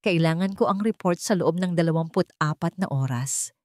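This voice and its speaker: A woman's voice: on the low side, moderate pace, darkish tone, no echo, clean studio sound, close to an everyday voice. A woman playing a commanding, suspicious male billionaire boss in an audio romance, curt and insistent.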